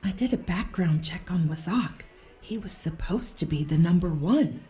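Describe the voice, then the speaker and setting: Woman as an earnest ship purser, mellow voice, lively pitch. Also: moderate pace, soft background music, lightly acted. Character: anxious, curious female ship purser.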